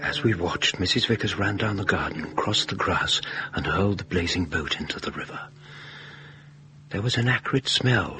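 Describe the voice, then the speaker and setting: An actor with a British accent playing a proper, courteous doctor in a radio drama, formal and old-fashioned in manner. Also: male voice, deep voice, bright, forceful tone, slight background noise, rough recording. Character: proper, courteous, easily shocked gentleman doctor.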